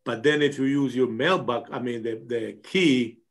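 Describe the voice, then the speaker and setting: A man's voice: medium pitch, moderate pace. A man at a training meeting asking a question hesitantly, often restarting his sentences. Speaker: meeting attendee.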